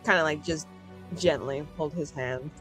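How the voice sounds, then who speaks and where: A woman playing a tabletop roleplaying game, casually narrating what her character does in slangy, sweary, halting phrases. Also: deep voice, fairly even intonation, a bit bright, faint music behind.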